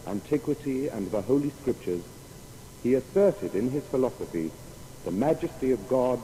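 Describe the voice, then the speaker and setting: A man's voice: mellow, lightly acted. An actor playing a solemn male reader of a memorial inscription, declaiming formally in a dramatised biography. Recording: long reverb, faint rumbling noise.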